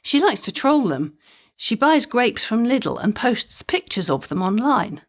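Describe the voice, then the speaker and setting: A chatty, mischievous mother played by a woman in a comic audio drama. Her melody is lively and swinging, while the delivery stays conversational and drily funny. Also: a little high, measured pace, heavily acted. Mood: mischievous storytelling. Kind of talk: audio drama comedy dialogue.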